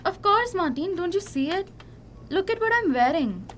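A woman's voice: very high voice, big pitch swings, normal speed, a bit bright, exaggerated voice. A young woman playing a discontented, reproachful wife who longs for luxury, in an amateur radio drama. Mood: bitter, reproachful. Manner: reproachful, sighing, dissatisfied. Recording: noisy amateur recording.

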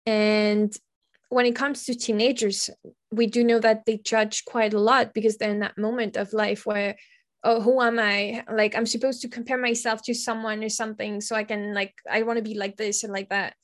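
Woman, higher voice, thinking out loud, restarts a lot. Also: little pitch movement, normal speed. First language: French. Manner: reflective, searching for words. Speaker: secondary school teacher, guest. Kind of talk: conversational podcast interview.

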